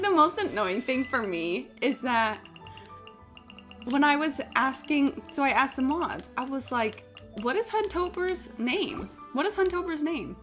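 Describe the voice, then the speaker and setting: A woman with a fairly high voice livestreams a game in a casual, chatty way. She rambles through anecdotes bluntly and swears freely, leaning on "like" as she goes. Game music plays faintly behind her. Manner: blunt, sweary, animated storyteller, frequent "like". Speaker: live streamer. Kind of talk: casual gaming stream chat, rambling anecdotes. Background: video game music.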